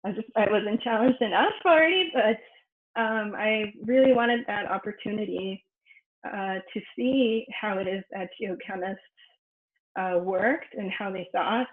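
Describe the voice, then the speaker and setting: A woman researcher whose first language is Spanish, giving a personal academic presentation with many pauses and fillers. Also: mid-range voice. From United States. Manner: thoughtful, frequent fillers and restarts. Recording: echoey room over a phone-like line.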